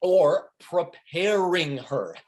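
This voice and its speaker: Casting: woman as blustering male schemer for stage comedy, low-pitched, highly animated, sarcastic and paranoid. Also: very slow. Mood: sardonic, menacing. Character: Steve, brash, foul-mouthed, paranoid, grieving male schemer. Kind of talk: comic script table reading. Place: remote video call.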